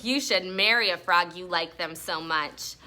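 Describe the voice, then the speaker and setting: A woman's voice: fairly low pitch, a little slow, sharp tone, voice pushed down. A woman voicing a teasing school-age child in a storybook read-aloud. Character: Stink's teasing school-age swim-team friends. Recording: noisy classroom recording.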